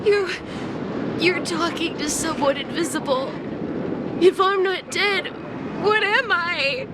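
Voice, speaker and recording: Game role: cowardly, superstitious little creature, shrill whimpering, very slow drawn-out wails. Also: female voice, noisy background. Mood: whimpering panic. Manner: frantic, whimpering, wailing. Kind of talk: video game voice acting. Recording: loud music behind the voice. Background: music.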